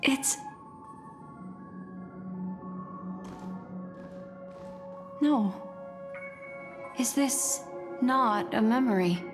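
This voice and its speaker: A woman playing an enigmatic, composed young seer in a video game, musing in a measured way. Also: on the high side. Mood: puzzled unease. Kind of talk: dramatic video game voice acting. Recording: long reverb, music underneath.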